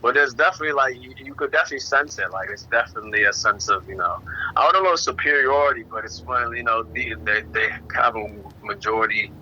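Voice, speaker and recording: A man's voice: medium pitch, fast talker, pushed and bright, a little hiss or hum. A man in a casual group discussion, speaking hesitantly with many restarts, on a dull lo-fi recording. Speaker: discussion participant.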